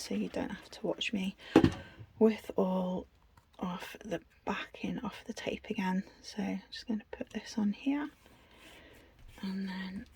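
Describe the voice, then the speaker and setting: A woman's voice: mid-range voice, gravelly, breathy edge. A British woman giving a casual, unscripted craft tutorial in a chatty, self-deprecating way. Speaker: craft video host.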